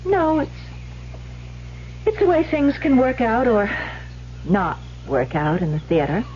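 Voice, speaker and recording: Female for proud, defensive radio-drama heroine. Highish, expressive voice, muffled vintage broadcast with faint hiss. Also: slow. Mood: wistful, reluctant. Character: guarded, proud former actress, unhappily married, defiant. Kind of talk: old-time radio drama acting.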